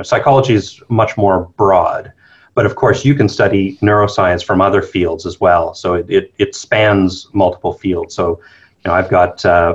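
Male interview guest, Canadian accent, deep voice, dull band-limited audio, conversational explanations. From New Brunswick, eastern Canada. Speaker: podcast guest, academic researcher.

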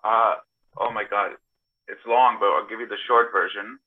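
Over a thin, phone-like internet call, a middle-aged man speaks in a slightly low voice. He is a podcast guest telling casual anecdotes about himself. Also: fairly even intonation, normal speed. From born in Serbia, grew up partly in Toronto, Canada. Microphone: video call.

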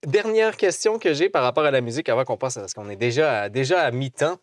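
A man's voice: mid-range voice, animated, fast talker, no echo, clean studio sound. A man hosting an interview, asking thoughtful questions while searching for his words. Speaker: host. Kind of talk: conversational interview.

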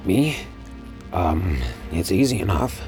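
Low, gravelly space pirate. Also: male voice, animated, slow pace, full character voice. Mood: wary, doubtful. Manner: brooding first-person narration. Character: weary, uneasy male space pirate narrating his ordeal. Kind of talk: audio drama acting and narration. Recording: music behind the voice.

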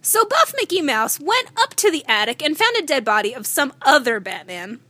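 Give this woman a high, bright voice with very lively swings in pitch. She is a podcast co-host doing slangy, mock-serious comic banter and reading. The sound is close and dry. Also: normal speed, no room sound. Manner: giggly, easily cracks up.

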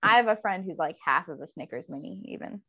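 A woman's voice: fairly low pitch, expressive, fast talker, gravelly, bright, forceful tone, slightly rough, muffled recording. A sleepy young woman in casual chat, rambling with lots of fillers and giggles. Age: young adult.

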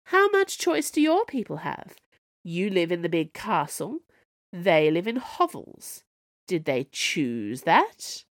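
A woman's voice: on the low side, sing-song, measured pace, dry recording, studio quality, exaggerated voice. A woman reading the part of a cold, cruel fairy-tale queen who drags out her words with a sneer. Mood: taunting.

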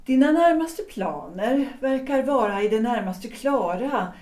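An actress playing a composed, resolute upper-class wife in a period audio drama, speaking deliberately with weighty pauses. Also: female voice, on the high side, expressive, darkish tone, close to an everyday voice. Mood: grave, hesitant. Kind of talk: period stage-play audio drama acting. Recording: roomy echo.

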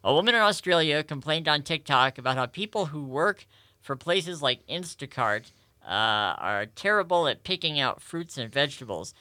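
A man co-hosting a casual podcast chat, playful and given to drawn-out exclamations. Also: a little high, animated, sharp tone, dry recording, studio quality. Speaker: podcast co-host.

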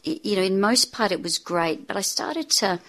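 A woman's voice: mid-range voice, animated, normal speed, a bit bright. A woman, a guest speaking reflectively in an interview. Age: 50s. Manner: reflective, frequent fillers and restarts. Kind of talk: interview conversation.

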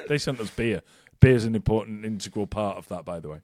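A man's voice: on the low side, fairly quick, mellow, no echo. A man co-hosting a casual podcast, swearing, joking and rambling through his announcements into a close mic. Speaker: podcast co-host. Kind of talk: casual chat.

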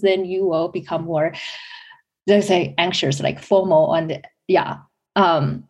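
A woman's voice: medium pitch, darkish tone, breathy edge. A young woman from China, a business school student answering interview questions in a chatty way with many fillers and restarts. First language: Chinese.

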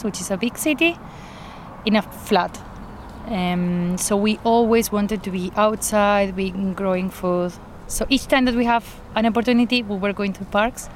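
Argentine woman garden coordinator, earnest, light laughs, faint outdoor noise. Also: medium pitch. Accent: Argentine Spanish. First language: Spanish. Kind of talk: interview, personal account.

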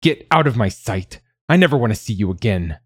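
A man's voice: on the low side, animated, moderate pace, no room sound. A man voicing a cruel, scornful mother who berates her child in a harsh, hissing way in an audiobook. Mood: furious. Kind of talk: dramatised audiobook narration.

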